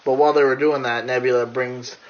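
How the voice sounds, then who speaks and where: A man's voice: mid-range voice, flat delivery, fairly quick. A man chatting casually as he recaps a film as a reviewer, with some loose, sweary phrasing. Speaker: movie reviewer. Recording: dull lo-fi audio.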